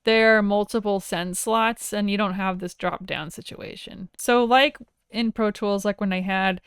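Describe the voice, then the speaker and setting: A woman teaching audio software in a casual, conversational screen-share tutorial, informal and enthusiastic about her tools. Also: mid-range voice, clear, resonant tone, dry recording, studio quality.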